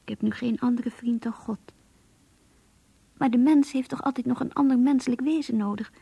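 Husky, confiding young woman diarist. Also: a little high, normal speed, warm tone, no echo. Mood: wistful, troubled. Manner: intimate, reflective, confiding. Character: earnest, introspective young woman confiding in her diary. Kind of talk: radio drama diary monologue.

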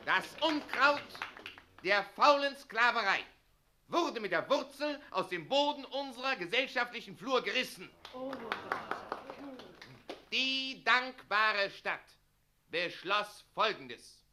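An actor in a German radio play playing an officious, servile wedding official who declaims ceremoniously. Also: male voice, extremely high pitch, animated, takes it slowly, long pauses, background noise, exaggerated voice. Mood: grandiose, ceremonial.

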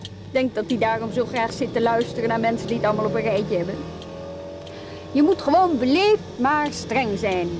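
A woman's voice: fairly high pitch, normal speed, background noise, close to an everyday voice. An actress playing a wry, weary, no-nonsense professional woman in a naturalistic radio drama, speaking in a conversational, hesitant way. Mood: wry, casual.

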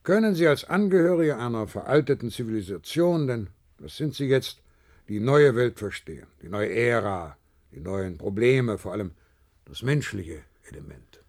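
Skeptical superior, patronizing, hemming, pointed, mid-pitched, very lively intonation, radio drama. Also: male voice, normal speed. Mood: stern, skeptical. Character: patronizing male office boss, skeptical and probing. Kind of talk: German science-fiction radio play acting.

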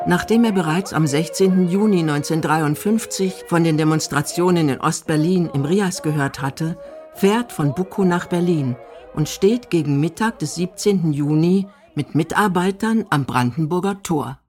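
Low-voiced woman narrating a documentary. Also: moderate pace, a little mellow, soft background music.

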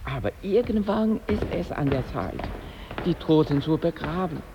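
A man's voice: fairly high pitch, animated, moderate pace, highs cut off, background noise. An actor playing an earnest, reproachful male friend in a radio drama, reasoning insistently.

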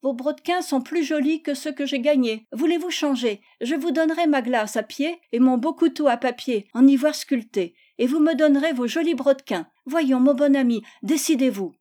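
A woman reader puts on a spoiled little girl from a storybook. The voice is high yet mellow. She wheedles and pouts, impatient to get her own way. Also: normal speed, few pauses, lightly acted. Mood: coaxing, insistent. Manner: insistent, pouting.

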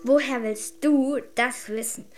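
Brief: sceptical young girl, high pitch, sulky and plain-spoken, children's radio drama, light music behind. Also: steady tone, slow pace. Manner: blunt, hesitant, sulky.

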